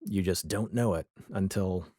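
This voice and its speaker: A man's voice: low-pitched, moderate pace, warm tone, breathy edge, dry recording, studio quality. A man talking casually as a podcast guest, telling stories in an informal, opinionated way. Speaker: podcast guest, audio drama creator. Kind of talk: casual interview chat.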